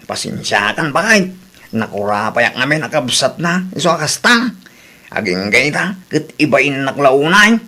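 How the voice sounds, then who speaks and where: A man's voice: medium pitch, very animated, unhurried, sharp tone, heavily acted. A man with an Ilocano accent playing a poor, resigned, patient village husband in a radio drama.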